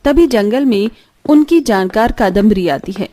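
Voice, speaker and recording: A woman's voice: mid-range voice, expressive, moderate pace, mellow, faint music behind. A woman giving dramatic scripted narration as the storyteller of a tale.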